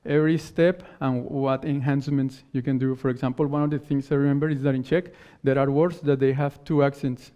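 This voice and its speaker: A man whose first language is not English, giving a technical conference talk and answering questions in halting phrases that he often restarts. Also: medium pitch, flat delivery, warm tone. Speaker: conference speaker. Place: conference hall stage. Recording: dull lo-fi conference recording.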